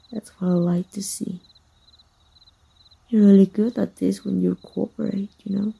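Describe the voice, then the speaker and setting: A woman playing a jealous, possessive female villain in an intimate ASMR roleplay, teasing in a husky, breathy murmur. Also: medium pitch, little pitch movement, slow, pauses often, mellow, full character voice. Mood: seductive, smug. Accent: Mexican.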